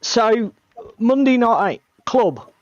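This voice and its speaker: Man, gravelly strained voice, casual chatty co-host, dull dry lo-fi sound. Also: extremely high pitch, sing-song, very slow, a little mellow, no room sound. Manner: chatty, self-deprecating, swears casually. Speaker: co-host of a tabletop hobby stream. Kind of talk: casual chat.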